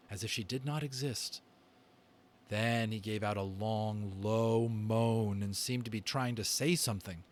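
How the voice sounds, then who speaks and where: A man narrating a children's book as an amateur reader, in a dramatic, deliberate storytelling style. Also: mid-range voice.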